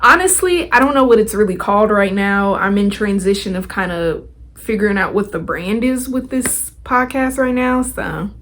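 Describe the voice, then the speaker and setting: A woman's voice: mid-range voice, moderate pace, a bit bright. Chatty young woman hosting a podcast. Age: young adult. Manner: chatty, informal, slangy. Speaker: podcast host. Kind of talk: casual motivational podcast talk.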